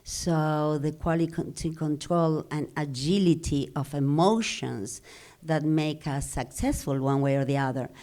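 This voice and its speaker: Woman, middle-aged, Spanish accent, native Spanish speaker, lowish voice, earnest, measured. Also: dark tone. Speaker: guest. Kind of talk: live podcast interview.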